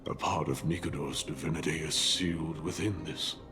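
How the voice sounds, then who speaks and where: An actor playing a grave, stoic warrior in a video game, speaking in a measured, solemn and formal way. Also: male voice, extremely low pitch, steady tone. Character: grave, stoic warrior ally, weary of a doomed world. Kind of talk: dramatic video game voice acting. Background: game music.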